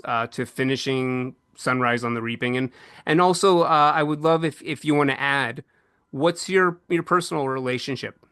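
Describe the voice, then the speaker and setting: A man's voice: mid-range voice, fairly bright tone, clear, resonant tone, no echo, studio quality. A man hosting a podcast panel discussion, putting questions to his guests in a conversational style. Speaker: podcast co-host.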